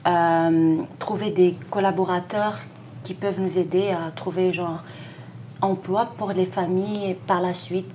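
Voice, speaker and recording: A woman's voice: on the low side, a little mellow, a little hiss or hum. A young woman from southern Morocco, a graduate student, answering in a studio TV interview with a hesitant delivery full of fillers. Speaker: graduate student, committee volunteer. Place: small TV studio. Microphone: lapel.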